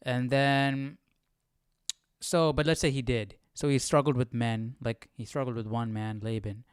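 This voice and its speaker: Guy leading a study stream, clear smooth voice, thinks out loud, questioning, very dry room sound. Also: mid-range voice, steady tone, measured pace, no echo. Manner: questioning, often adds "right?". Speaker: Bible study host. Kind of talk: casual live commentary, thinking aloud.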